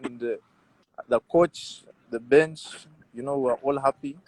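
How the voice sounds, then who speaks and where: A man in his twenties from Uganda, speaking with a Ugandan accent. He tells personal stories as an interview guest in a loose, casual way. His delivery is animated, with phrases repeated for emphasis. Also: mid-range voice, unhurried, rough recording. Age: 20s. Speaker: rugby player, interview guest.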